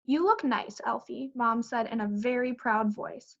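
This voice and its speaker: Female teacher, fairly high voice, picture-book narration for kids, muffled lo-fi audio. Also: unhurried, creaky, fairly bright tone. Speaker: teacher reading aloud to children. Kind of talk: children's picture book read-aloud. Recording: dull lo-fi audio.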